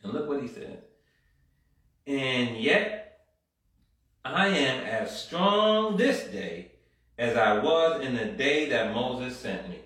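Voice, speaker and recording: A man's voice: mid-range voice, lively intonation, takes it slowly, long pauses, a bit bright. Echoey fervent male preacher. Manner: builds to fervent, rising exhortation; frequent amens and call-and-response. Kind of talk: sermon with Bible reading. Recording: very echoey room from far off.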